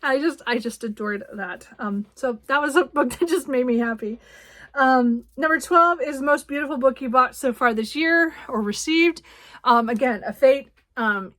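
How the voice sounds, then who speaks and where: Casting: female book vlogger, higher-pitched voice, informal and chatty, effusive and giggly, wandering delivery. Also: fairly bright tone. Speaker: book reviewer and vlog host. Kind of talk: casual, chatty book talk to camera.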